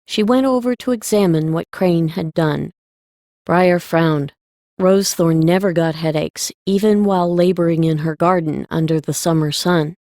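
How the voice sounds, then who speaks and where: A woman's voice: fairly low pitch, normal speed, dark tone, dry recording, studio quality. A woman narrating a scripted story as an audiobook reader.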